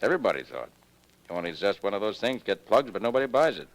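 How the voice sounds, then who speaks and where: An actor playing a brusque, wry music publisher with slangy grumbling talk in a 1940s radio detective drama. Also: male voice, fairly low pitch, big pitch swings, fast. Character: gruff, wisecracking music publisher, grumbling about sales.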